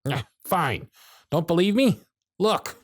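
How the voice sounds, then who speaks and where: A man's voice: high-pitched, sing-song, slow, exaggerated voice. A man playing a jaded century-old supervisor. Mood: bitter, exasperated. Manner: sardonic, blunt. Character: jaded male workshop supervisor, century-old, gruff and weary. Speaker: voice actor in audio roleplay. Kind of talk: ASMR roleplay acting.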